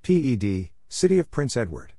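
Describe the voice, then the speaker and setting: A man giving a scripted read-out as an instructor, spelling letters and saying names in short, measured, separate phrases. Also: mid-range voice, big pitch swings, creaky, dark tone, slightly rough, no echo. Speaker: Morse code practice instructor. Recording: noisy recording with clicks.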